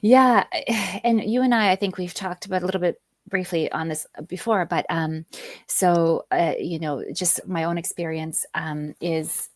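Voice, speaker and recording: A woman's voice: lowish voice, steady tone, measured pace. A woman, a guest author talking thoughtfully in an interview, with frequent ums and restarts. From Canada. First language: Polish. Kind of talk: conversational interview.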